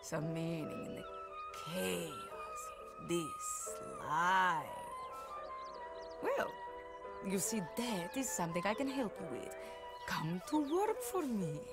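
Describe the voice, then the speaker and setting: Theatrical, lilting fortune teller. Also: female voice, mid-range voice, huge pitch swings, slow, exaggerated voice. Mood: mystical. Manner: theatrical, mysterious, coaxing. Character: theatrical female fortune teller and dealer in curiosities. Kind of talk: video game cutscene acting. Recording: music behind the voice.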